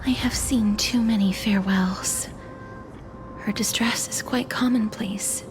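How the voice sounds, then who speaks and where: A woman playing a gentle, solemn holy maiden of death in a fantasy game, speaking softly and formally in a measured, melancholy way. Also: medium pitch, background noise, lightly acted. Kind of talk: dubbed fantasy game dialogue. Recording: orchestral game music behind.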